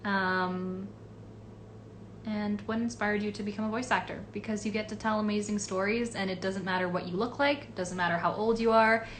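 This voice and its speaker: A woman's voice: medium pitch, fairly even intonation, normal speed, a little hiss or hum. A young woman from Los Angeles, a voice actress casually answering fan questions on a live stream, reading comments aloud with lots of fillers.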